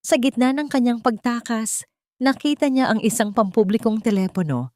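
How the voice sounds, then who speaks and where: A woman's voice: a little high, moderate pace, darkish tone, no echo. A woman reading a dramatic scripted story as an audiobook narrator, with solemn, suspenseful storytelling.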